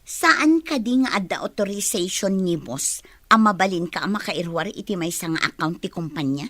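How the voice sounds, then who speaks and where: Animated, sharp-tongued Filipina schemer. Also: female voice, on the low side, moderate pace, no echo. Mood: exasperated. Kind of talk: radio drama dialogue.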